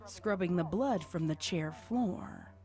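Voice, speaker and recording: A woman reading scripted story narration as the narrator of a dark tale. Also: lowish voice, expressive, slow. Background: music.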